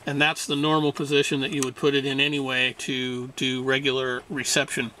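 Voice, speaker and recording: A man giving an unscripted hands-on repair demonstration, explaining things in a halting, matter-of-fact way. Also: medium pitch, monotone, background noise. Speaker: radio restorer demonstrating repair.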